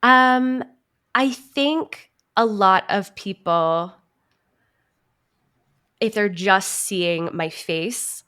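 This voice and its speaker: A woman's voice: medium pitch, extremely slow, pauses often, pushed and bright, clean tone. A young woman talking casually as an interview guest, earnest and reflective, working through her thoughts in loosely linked phrases. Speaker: podcast guest, actor and marketing strategist.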